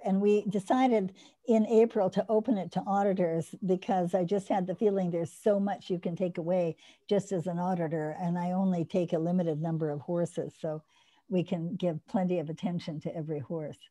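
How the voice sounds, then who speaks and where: Older lady teaching an online class over video call, warm mellow voice, keeps restarting sentences as she explains. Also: lowish voice, steady tone, moderate pace. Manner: eager, explaining, with frequent restarts. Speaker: instructor hosting an online course.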